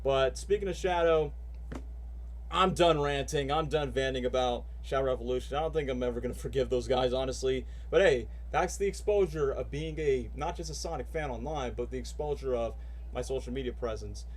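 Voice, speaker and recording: A man's voice: medium pitch, fairly quick. A man ranting and chatting casually as a live streamer before reacting to cutscenes, rambling with lots of fillers. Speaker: streamer and voice actor.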